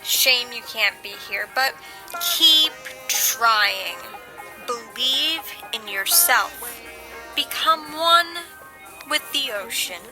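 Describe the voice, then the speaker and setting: A woman's voice: fairly high pitch, animated, very slow, poor audio. A woman playing a cocky female operative in an audio comedy drama, sly, teasing and sardonic. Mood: mocking, leisurely. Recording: music under the voice.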